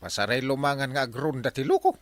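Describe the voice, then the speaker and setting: An actor with an Ilocano accent playing a fretful, excitable village captain in a radio comedy drama, exclaiming and grumbling. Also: male voice, mid-range voice, fast talker, dry recording, lightly acted. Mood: worried grumbling. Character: fretful, excitable barangay captain, comically exasperated man.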